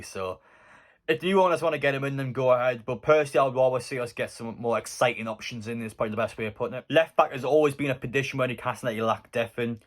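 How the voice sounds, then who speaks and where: A man giving conversational, opinionated football news commentary as a fan YouTuber. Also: mid-range voice.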